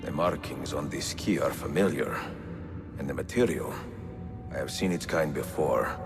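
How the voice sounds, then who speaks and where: An actor playing a suave, confident older Italian adventurer in a game cutscene, with an Italian accent and measured delivery. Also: male voice, a bass voice, long reverb tail. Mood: measured, intent. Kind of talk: dramatic video game cutscene acting. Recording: music behind the voice.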